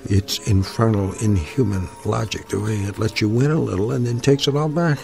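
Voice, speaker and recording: Creaky, pompous older man, obsessed gambler. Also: on the low side, moderate pace. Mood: obsessive, defiant. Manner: pompous, formal, ranting diction. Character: obsessed, irascible older husband hooked on gambling machine. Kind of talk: radio drama acting. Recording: radio drama with music underneath.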